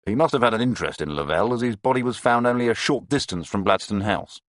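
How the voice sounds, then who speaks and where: A brusque police inspector who states grim facts plainly, as a man reads him aloud. His voice rides a bit above the reader's own with a lively swing. The sound is dry and close. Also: fairly low pitch, quick pace, no room sound, pitched above the performer's own voice. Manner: blunt and matter-of-fact.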